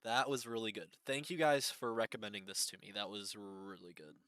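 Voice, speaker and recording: A man hosting a reaction video with casual unscripted commentary, halting and repeating his phrases. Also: fairly low pitch, steady tone, bright, forceful tone, clear, resonant tone, no room sound, studio quality.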